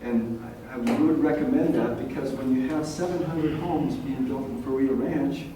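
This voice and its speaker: An older man who is a longtime local resident, speaking softly and haltingly at a community meeting. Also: mid-range voice, fairly even intonation. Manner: soft-spoken, rambling with pauses. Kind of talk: public comment at a community meeting. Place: large echoey meeting room. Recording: echoey room, distant mic, faint hiss.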